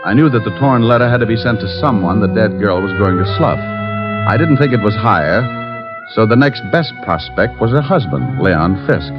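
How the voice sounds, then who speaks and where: An actor playing a wisecracking, smooth-talking private detective in the manner of 1940s radio drama. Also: male voice, fairly low pitch, animated, normal speed, close to an everyday voice. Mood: narrating. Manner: glib, teasing, smooth-talking. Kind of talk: 1940s radio detective acting with first-person narration. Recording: dull vintage radio recording with music.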